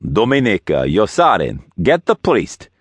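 A man's voice: fairly low pitch, wildly swooping pitch, a little slow, husky, no room sound, pitched above the performer's own voice, heavily acted. A sadistic Russian villain performed by a male narrator for a thriller audiobook. He speaks with a Russian accent in a curt, commanding way, cold and humorless. The sound is dry and close.

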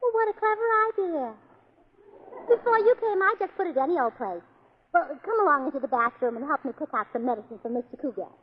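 An actress playing a cheerful, scatterbrained young wife with a bubbly, illogical manner in a 1940s radio comedy. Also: female voice, high voice, quick pace, slight background noise. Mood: chirpy. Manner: bubbly, chirpy, deadpan about her own nonsense. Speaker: comic lead. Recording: slightly dull old radio recording.

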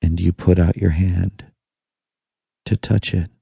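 A man narrating a guided meditation in a soothing, hushed manner with long pauses. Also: low-pitched, level tone, gravelly, slightly rough, dry recording, muffled recording. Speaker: audiobook narrator.

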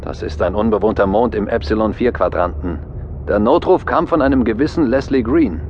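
A brisk, businesslike official in a sci-fi radio play, acted by a man with a low voice. His voice sounds dull and muffled, like a transmission. Faint noise hangs behind it. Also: lightly acted. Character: businesslike male official calling by video link. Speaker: planetary commission official.

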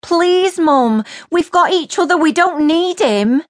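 Pleading teen girl, voiced by a woman narrator, shrill and urgent. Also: lively intonation, slow, full character voice. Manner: pleading, desperate. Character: teenage girl pleading with her mother.

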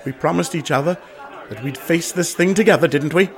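A man acting a doomed, anguished gentleman in a radio drama, with husky voice and expressive old-fashioned upper-class phrasing. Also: a little high, a little fast, some chatter behind, close to an everyday voice. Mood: worried, urgent. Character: doomed gentleman haunted by a family suicide curse, anguished.

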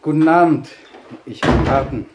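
An actor playing a hesitant young ex-convict, polite and deferential but timidly protesting, in a German audio drama. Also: male voice, mid-range voice, normal speed, heavy background noise, natural voice.

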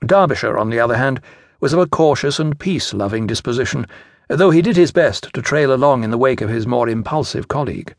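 British man narrating, lively pitch, very dry recording. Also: brisk, dry recording. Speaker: audiobook narrator. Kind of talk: scripted narration of a children's story.

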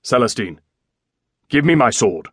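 A man acting a husky, hot-tempered wounded medieval lord. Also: mid-range voice, sing-song, measured pace, a bit bright, dry recording, clean studio sound, exaggerated voice. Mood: bitter, sarcastic. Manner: commanding, exasperated, biting.

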